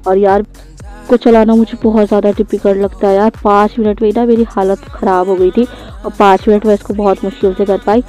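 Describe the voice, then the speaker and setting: A woman's voice: mid-range voice, little pitch movement, fairly quick, dark tone. A woman, giving a casual, chatty voice-over for a daily vlog. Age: 20s. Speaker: vlogger. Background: faint music.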